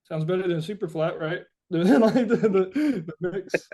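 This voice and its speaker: Halting man with a slightly high voice. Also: lively intonation, darkish tone. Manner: halting, searching, informal with swearing. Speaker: interviewed musician. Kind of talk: casual reflective interview chat.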